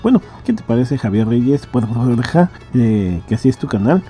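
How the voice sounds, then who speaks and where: A man's voice: mid-range voice, very animated, normal speed. A man giving a casual spoken introduction as a channel host. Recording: muffled, dark, faint music behind. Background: faint music.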